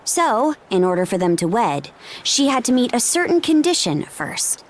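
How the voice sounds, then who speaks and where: A woman's voice: fairly high pitch, big pitch swings, normal speed. A woman acting a bold, blunt young warrior princess in a video game cutscene. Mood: firm, explaining.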